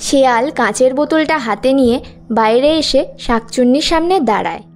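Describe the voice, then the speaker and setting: A woman's voice: sits high, brisk, a little mellow, faint music behind. A woman storyteller reading scripted narration for a children's fairy tale.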